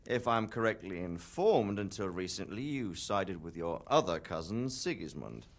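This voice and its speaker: A dignified, courteous knight. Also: male voice, deep voice, very animated, moderate pace. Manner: courteous, probing. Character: dignified older male knight, courteous and probing.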